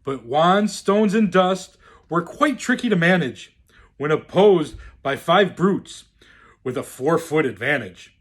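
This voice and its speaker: Slow, swooping male storyteller. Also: on the high side, animated, breathy edge. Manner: theatrical, deliberate, swooping delivery. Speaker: storyteller in the role of Santa. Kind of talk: expressive reading of a rhyming children's storybook.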